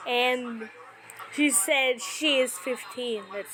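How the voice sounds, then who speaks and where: A woman's voice: fairly high pitch, takes it slowly, low-quality recording. A woman giving casual, mocking reaction commentary in a scornful, slangy manner, repeating phrases for emphasis. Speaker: YouTuber making a reaction video. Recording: loud music behind the voice. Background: music.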